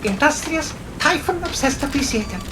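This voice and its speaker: A woman's voice: high-pitched, lively intonation, moderate pace, very noisy. A woman voicing a forgetful, whimsical god of the forge in a video game. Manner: whimsical, dreamy. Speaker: game character. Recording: game audio with music underneath.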